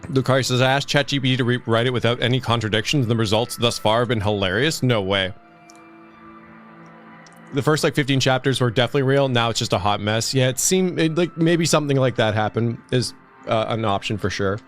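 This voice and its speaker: A man's voice: mid-range voice, a little fast, faint music behind. A man co-hosting a livestream, chatting casually and reading out comments. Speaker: livestream co-host.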